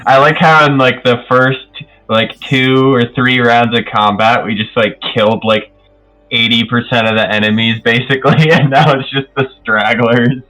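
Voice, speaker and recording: A man's voice: medium pitch, steady tone, moderate pace, pushed and bright, poor audio. A man playing a tabletop game, chatting casually about tactics and the fight. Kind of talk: casual chat. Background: background music.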